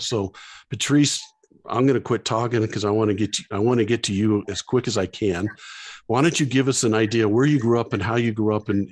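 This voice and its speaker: A man's voice: fairly low pitch, darkish tone, dry recording. A middle-aged man hosting a podcast over a video call, with a friendly, rambling introduction. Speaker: podcast host. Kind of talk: casual interview. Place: home office, video call. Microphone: headset.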